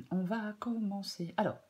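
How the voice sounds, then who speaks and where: A woman with a French accent giving a tarot reading in a casual, hesitant way, slightly hoarse, with many fillers and repeated phrases. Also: medium pitch, warm tone. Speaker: tarot reader. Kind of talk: casual spoken tarot guidance.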